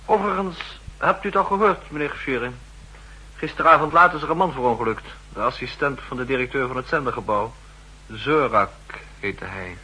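An actor playing an eager, earnest young intelligence officer with some stubbornness, in the style of a 1960s radio drama. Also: male voice, mid-range voice, moderate pace, bright, forceful tone, husky, rough recording. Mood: casual, ambitious. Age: young adult.

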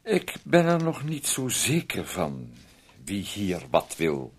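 A fussy, sardonic brother in a radio drama. His voice is husky and swings widely in pitch, halting over small interjections as he condescends and quietly controls. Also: huge pitch swings, exaggerated voice. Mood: sardonic, condescending. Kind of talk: radio play acting.

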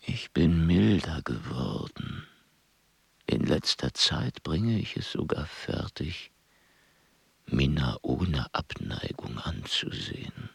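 An actor in a radio play voicing a grudgingly mellowed man who reflects drily and deliberately, sometimes half whispering. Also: very deep voice, sing-song, dry recording, heavily acted. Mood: musing, grudging. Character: male observer, grudgingly mellowed, dry and reflective. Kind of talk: German radio play acting. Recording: clear background hiss and animal ambience.

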